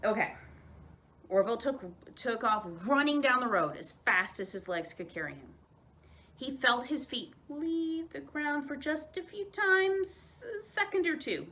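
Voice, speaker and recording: A woman telling children's stories aloud as a library storyteller, expressive, with dramatic emphasis and pauses. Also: high voice.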